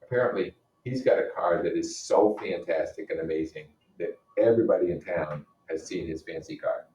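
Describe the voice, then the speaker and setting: A man's voice: low-pitched, fairly quick, dark tone, muffled recording. A man reading a novel aloud as a teacher and explaining it as he goes, often putting questions to his listeners. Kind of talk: read-aloud with explanatory comments. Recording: roomy echo, distant microphone.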